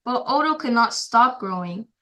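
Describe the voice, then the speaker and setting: A woman's voice: a little high, animated, normal speed, sharp tone. A female guest reader reading a children's storybook aloud in a simple, careful storytelling way.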